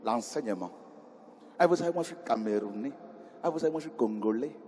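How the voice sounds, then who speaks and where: A male preacher speaking to his congregation in a middle-pitched voice, now quiet and chatty, now rising to loud cries. His voice rings through a hall with a long reverb, and a faint ambience sits underneath. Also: expressive, quick pace, a little hiss or hum. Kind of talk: sermon.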